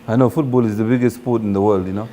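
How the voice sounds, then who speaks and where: A man's voice: on the low side, steady tone, very fast, mellow. A man hosting a conversational talk show interview, informal, asking follow-up questions and dropping in encouraging interjections.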